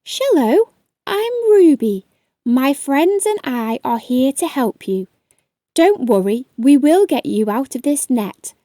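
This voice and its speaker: A woman's voice: high voice, sing-song, slow pace, mellow, no room sound, clean studio sound, heavily acted. A woman voicing a kind, brave young female sea rescuer in a children's storybook, reassuring and upbeat. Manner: reassuring, cheery.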